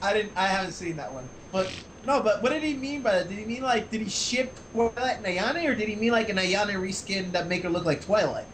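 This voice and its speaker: Excitable high-pitched man bantering. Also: a little fast, a little hiss or hum, poor audio. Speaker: co-commentator on a story-reading livestream. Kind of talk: casual jokey banter.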